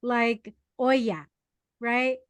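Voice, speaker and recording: A woman co-hosting a podcast, chatting casually and telling animated stories with fillers. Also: a little high, extremely slow, clear, resonant tone, dry recording. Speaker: podcast co-host. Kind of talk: casual chat.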